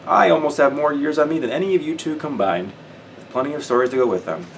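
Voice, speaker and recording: A man's voice: a little high, fast, vocal fry, distant mic, a little hiss or hum, voice pushed up, poor audio. A man voicing a big, sarcastic French soldier who speaks theatrically. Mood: playful.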